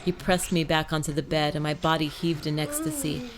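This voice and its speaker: A woman's voice: low voice, big pitch swings, fairly quick, a little hiss or hum. A woman reading scripted first-person fiction as an audiobook narrator.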